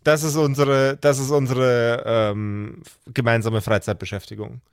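Man, mid pitch, blunt and sweary, emphatic repetition, casual podcast talk, very dry sound. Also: little pitch movement, a little slow, clear, resonant tone, no room sound. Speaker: podcast host and game master. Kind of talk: casual chat with friends.

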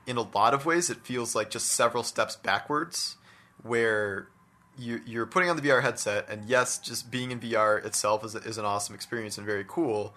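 A man with a fairly low, very bright and forward voice, talking off the cuff as a podcast co-host. He gives his opinions in a casual, conversational way, often padding phrases with small filler words. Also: moderate pace. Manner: conversational, hedging with "like" and "you know". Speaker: podcast co-host discussing video games.

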